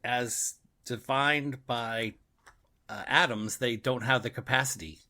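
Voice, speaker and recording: A man with a clearly bright voice at a middling pitch chats as a podcast co-host. He reasons aloud about stories in a casual way, often repeating a word or phrase before he carries on. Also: slow pace. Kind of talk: casual book and radio drama discussion.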